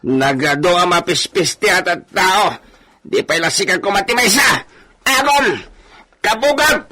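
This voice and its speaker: A man's voice: sits high, animated, unhurried, bright, forceful tone, heavily acted. A man playing a defiant, anguished old captive in his eighties in a radio drama.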